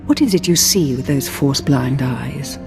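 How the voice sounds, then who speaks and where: Imperious, seductive dark-side ruler. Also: female voice, extremely low pitch, expressive, normal speed, vocal fry, heavily acted. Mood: haughty, sultry. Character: imperious, seductive female Sith lord and governor. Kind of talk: dramatic video game dialogue. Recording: long reverb, music behind.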